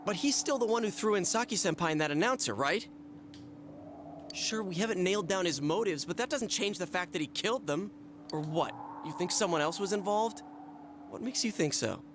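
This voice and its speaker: Blunt, animated teenage boy. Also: high-pitched, brisk, background noise. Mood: challenging. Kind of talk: English video game dub acting. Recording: game music behind the voice.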